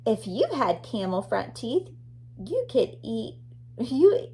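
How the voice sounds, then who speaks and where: A woman's voice: on the high side, big pitch swings, takes it slowly, dark tone, slight background noise. A woman teacher reading a children's nonfiction book aloud expressively, addressing young listeners.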